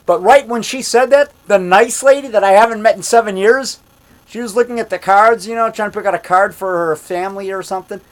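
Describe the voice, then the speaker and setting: A man in his fifties telling a rambling story in a loud voice, repeating himself and getting worked up as he goes. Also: high-pitched, fairly quick. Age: 50s. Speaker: monster movie maker telling a story. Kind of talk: casual storytelling monologue.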